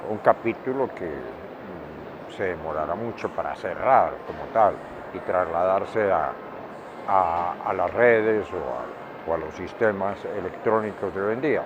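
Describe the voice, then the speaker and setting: An older Colombian man speaks in a low voice at a very slow, deliberate pace. He answers reflectively, stressing points by repeating words. The recording is dull, with clear traffic noise behind him. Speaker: interviewee, veteran radio director and journalist. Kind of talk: reflective interview talk.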